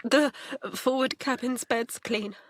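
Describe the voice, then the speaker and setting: An actress playing an overwhelmed, desperate mother in a naturalistic audio drama, halting and stammering under strain. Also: fairly high pitch, steady tone, a little hiss or hum. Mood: shaken, practical. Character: overwhelmed, desperate mother struggling to protect her children.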